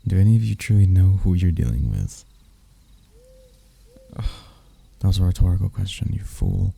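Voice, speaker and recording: A man playing a suave, arrogant demon prince of lust in an intimate ASMR roleplay, with a gravelly, breathy voice and a smooth, taunting manner. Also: deep voice, lively intonation, dark tone, exaggerated voice. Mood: mocking, menacing. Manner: smooth-talking, taunting, unhurried, dropping into whispers. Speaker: voice actor.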